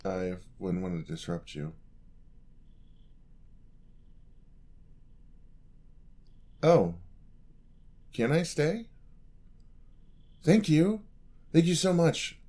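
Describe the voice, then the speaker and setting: A man's voice: a little high, wildly swooping pitch, slow, long pauses, heavily acted. A man playing a sweet, eager young paladin apprentice in a fantasy ASMR roleplay, speaking politely and earnestly to the listener. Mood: shy, polite. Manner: polite, earnest, gently encouraging.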